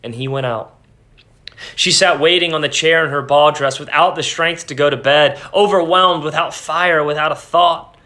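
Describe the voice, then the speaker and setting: Bright, expressive male storyteller. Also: a little high. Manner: expressive, deliberate. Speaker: reader. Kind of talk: dramatic reading of a classic short story.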